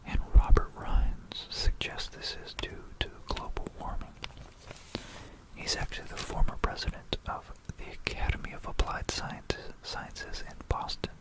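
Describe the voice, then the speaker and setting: Casting: ASMR presenter, fully whispered, unhurried, musing aloud with asides, close binaural mic. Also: rough recording. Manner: hushed, rambling musing with asides. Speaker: ASMR creator presenting a history topic. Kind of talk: whispered ASMR, reading and commenting on history. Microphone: close stereo binaural microphone.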